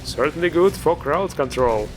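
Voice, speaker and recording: A man's voice: on the high side, animated, normal speed, music in the background. A man hosting a video game mod show, giving informal, enthusiastic commentary with a joking streak. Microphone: voice-over recorded over gameplay music.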